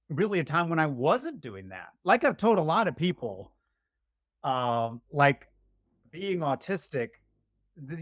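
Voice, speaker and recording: A man's voice: fairly high pitch, fairly bright tone, dry recording, clean studio sound. A middle-aged man from Virginia's Shenandoah Valley, chatting as an interview guest in a rambling, self-deprecating, joking way. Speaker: guest author. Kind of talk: casual interview chat.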